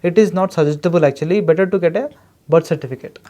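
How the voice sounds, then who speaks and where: A man's voice: a little high, fast talker, warm tone. A man with an Indian accent explaining things as a consultant in a podcast interview, emphatic and wordy. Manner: emphatic, wordy explaining, often repeats "actually". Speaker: education consultant guest.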